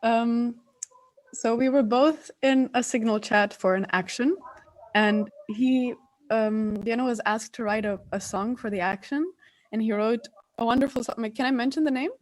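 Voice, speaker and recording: A young woman from Slovakia, a singer, answering on a video call, soft-spoken and hesitant. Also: a little high, moderate pace, low-quality recording.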